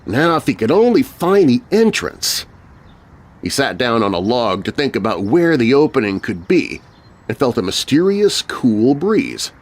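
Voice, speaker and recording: Animated male narrator of spooky children's stories. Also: mid-range voice, measured pace. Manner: suspenseful, theatrical. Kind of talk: dramatic scripted spooky storytelling for children. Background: music bed.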